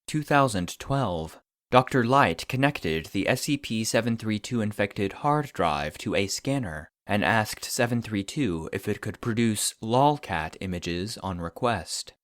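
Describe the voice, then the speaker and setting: A man's voice: on the low side, lively intonation, unhurried, a bit bright, no room sound, studio quality. A man reading scripted fictional case files as a narrator, in a formal, clinical report manner.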